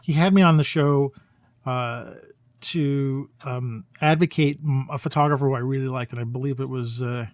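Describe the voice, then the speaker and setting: A man in a casual podcast conversation, talking in a halting way as he searches for his words. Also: mid-range voice, fairly even intonation, no room sound. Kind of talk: casual conversational podcast chat about photography.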